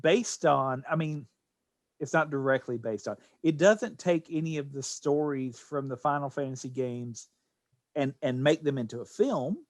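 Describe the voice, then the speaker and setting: A man's voice: mid-range voice, moderate pace, no echo, studio quality. A man co-hosting a podcast in a casual panel discussion. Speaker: podcast co-host.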